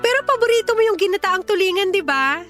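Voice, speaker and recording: A woman's voice: very high voice, expressive, quick pace, a bit bright, soft background music. An actress playing a clingy, desperate mother pleading with her ex in a Filipino radio drama, with an insistent, emotional delivery and a Filipino accent. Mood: insistent, wounded.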